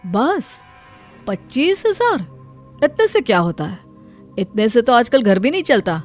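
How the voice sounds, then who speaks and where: A woman's voice: on the high side, sing-song, unhurried, soft background music. A woman voicing a blunt, money-minded man in his mid-thirties, a formal and condescending suitor in a moral story. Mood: dismissive. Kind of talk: moral story cartoon dialogue.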